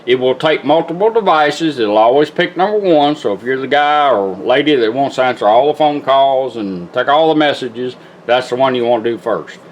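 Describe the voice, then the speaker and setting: A man's voice: mid-range voice, animated, normal speed, hardly any pauses, slight background noise, rough recording. A man giving a casual, hands-on product demonstration in a plainspoken, folksy way. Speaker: car radio restorer demonstrating a product. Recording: music playing behind the voice.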